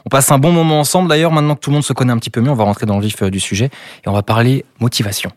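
A man's voice: medium pitch, very fast, no room sound, studio quality. A man from Brittany hosting a conversation with guests, upbeat, with playful game-show asides. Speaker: podcast host. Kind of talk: hosted conversation.

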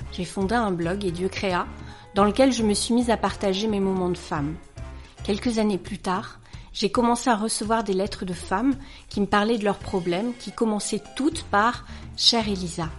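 A woman in her forties hosting a podcast, reading a listener's letter aloud in a gentle, measured voice and then her own warm reply. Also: medium pitch. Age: 40s. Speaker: podcast host.

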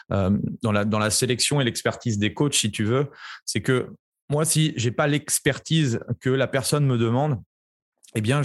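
A man's voice: medium pitch, no room sound. Easygoing man on a dry mic. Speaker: podcast host, coach. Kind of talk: casual interview chat.

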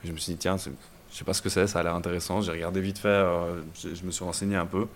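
A young man from Belgium, a startup founder telling his story in a casual interview, relaxed and hesitant with many fillers. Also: sits low, quick pace, a little hiss or hum. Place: startup incubator office.

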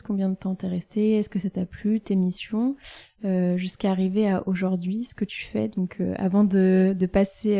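A woman's voice: medium pitch, warm tone, dry recording. A woman hosting a podcast, reading a scripted intro and then interviewing her guest with many hesitations and restarts. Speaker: podcast host. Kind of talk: casual interview.

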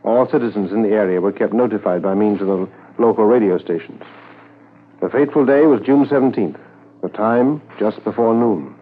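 An actor serving as a solemn, measured narrator of a 1950s police radio drama. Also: male voice, fairly low pitch, slightly rough. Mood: solemn, factual. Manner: formal, measured. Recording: tinny 1950s radio recording.

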